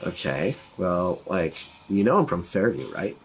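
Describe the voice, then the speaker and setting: A man's voice: low-pitched, very animated, measured pace, vocal fry, faint music behind, voice pushed down, exaggerated voice. A man playing a snooty, rich, popular teenage girl in a tabletop game, with a condescending manner. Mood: coolly condescending. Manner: haughty, condescending.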